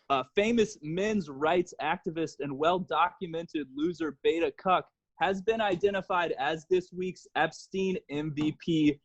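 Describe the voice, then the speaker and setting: Male podcast host, American, fairly high voice, casual and joking delivery, slightly dull lo-fi recording. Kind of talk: casual comedy chat.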